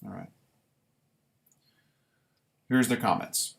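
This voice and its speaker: A man reading his own writing live and commenting on it casually, in deliberate, halting short phrases. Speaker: writer reading own work; former military recruit.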